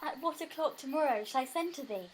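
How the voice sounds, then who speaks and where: A woman's voice: high voice, animated, fast, slight background noise, low-quality recording. A woman acting a young, lovestruck, earnest and anxious maiden in a Shakespearean audio drama, with theatrical verse delivery, recorded at home on a phone. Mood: tender.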